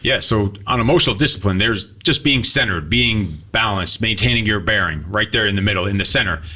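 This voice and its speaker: Rapid husky guy, bright voice, blunt profane podcast talk. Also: on the low side. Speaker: podcast co-host. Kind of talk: casual motivational talk.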